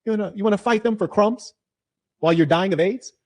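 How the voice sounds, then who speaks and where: A man with a high-pitched voice argues in a heated debate. He lectures hard and combatively, sinking low and then bursting into loud shouts. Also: fast talker.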